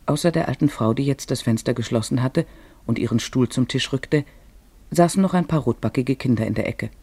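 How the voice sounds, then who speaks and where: Male narrator, medium pitch, slightly rough breathy tone, scripted storytelling, dry studio sound. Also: dark tone, no echo. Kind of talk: scripted literary narration for a radio play.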